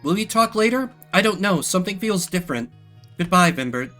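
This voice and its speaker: A wistful, gentle woman from a video game, acted out by a man, pitched high and lilting, melodramatic and tender, with music underneath. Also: lively intonation, fairly quick. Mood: sad farewell.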